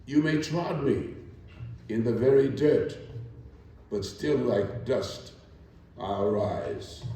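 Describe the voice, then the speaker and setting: An older man giving a theatrical reading to a live gathering, with deliberate, declamatory phrasing. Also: a little high, dark tone, recorded from a distance. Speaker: actor performing a dramatic reading. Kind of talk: theatrical poetry recital and spoken presentation. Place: echoing indoor hall, live performance. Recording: very echoey hall, from afar.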